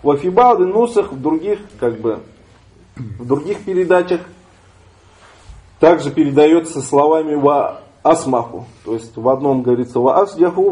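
A man giving a religious lesson, reading Arabic lines aloud and then explaining them step by step, often pausing and restarting. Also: a little high, darkish tone, low-quality recording. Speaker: religious teacher. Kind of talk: lesson explaining a classical text.

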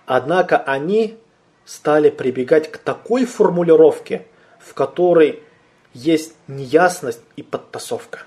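A man's voice: a little high, animated, unhurried, darkish tone. A man giving a religious lecture, explaining terms step by step, asking rhetorical questions and answering them himself, quoting Arabic words. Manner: repeats and rephrases terms, quotes Arabic words. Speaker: religious teacher. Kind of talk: theological lecture.

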